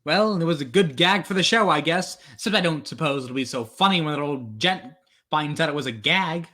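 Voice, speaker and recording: A man's voice: a little high, animated, a little fast, sharp tone. A man playing a tired, grumbling, sarcastic radio actor in a 1940s-style radio play. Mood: weary grumbling. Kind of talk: old-time 1940s radio play acting.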